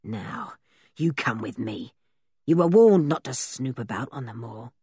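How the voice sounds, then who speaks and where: A woman voicing a rough, menacing male captor in a storybook reading, curt, threatening and commanding. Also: a bass voice, expressive, unhurried, dark tone, no room sound, studio quality, pitched below the performer's own voice, exaggerated voice.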